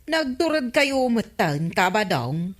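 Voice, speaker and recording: A woman playing an excitable, blunt village neighbour in an Ilocano radio comedy drama, exclamatory and questioning, with an Ilocano accent. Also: mid-range voice, unhurried. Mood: astonished.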